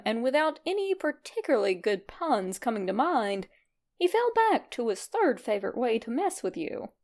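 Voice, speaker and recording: A woman reading fan fiction aloud as narrator, in an expressive scripted storytelling style. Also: on the high side.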